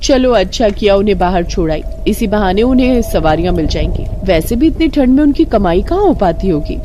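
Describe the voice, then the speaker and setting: A woman's voice: mid-range voice, expressive, a little fast, dark tone, dull audio, a little hiss or hum, natural voice. A woman voicing a haughty, mocking rich sister in a cartoon moral story, with a condescending, taunting delivery. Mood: mocking, scornful.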